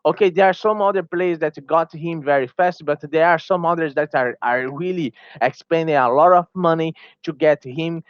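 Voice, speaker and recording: A man's voice: on the high side, smooth, no room sound, dull audio. Portuguese-native man, heated gaming rant. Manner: heated, repeats himself, many restarts. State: tired. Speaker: small gaming YouTuber. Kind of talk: opinion vlog commentary.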